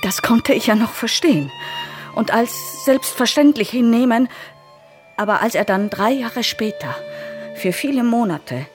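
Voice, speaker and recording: An actress playing an anxious, accusing wife who feels abandoned, in a radio play monologue. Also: female voice, mid-range voice, lightly acted. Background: music.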